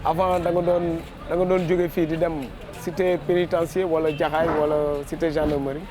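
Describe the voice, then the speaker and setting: Male interviewee, Senegalese accent, high pitch, flat intonation, spontaneous code-switching speech. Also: brisk, faint music behind. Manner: mixes Wolof and French. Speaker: resident interviewee.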